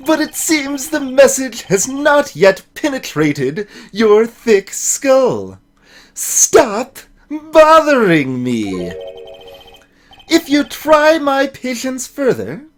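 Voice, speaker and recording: A man voicing a pompous, menacing businessman villain from a video game with drawn-out, condescending delivery. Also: high voice, pitched above the performer's own voice, full character voice.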